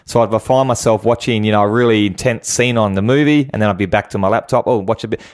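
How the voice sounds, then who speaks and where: A man with an Australian accent talks in a fairly low voice, recorded very dry. He gives practical advice in a conversational style, as a coach would in an interview. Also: fast talker, no room sound. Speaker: guest, home-business coach.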